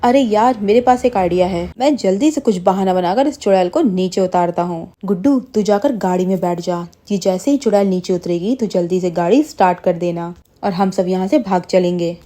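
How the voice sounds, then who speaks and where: Casting: woman as anxious young guy in a cartoon tale, conspiratorial and coaxing, medium female-range pitch. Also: moderate pace, few pauses, dark tone, lightly acted. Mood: scheming. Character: young men, scared but scheming friends on road trip. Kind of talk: cartoon story character voices.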